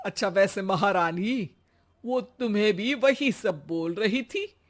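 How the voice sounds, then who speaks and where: A woman's voice: mid-range voice, expressive, slow pace. A woman voicing a lazy, irritable middle-aged mother-in-law in a cartoon story, sarcastic and easily riled. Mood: aggrieved. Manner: sarcastic, grumbling.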